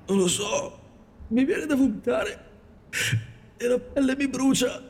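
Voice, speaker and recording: A man's voice: very high voice, measured pace, darkish tone. A man playing a frightened woodcutter who has been bitten, in a radio drama. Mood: weak. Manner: fearful, pleading. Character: male woodcutter, bitten and sickening. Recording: long hall reverb.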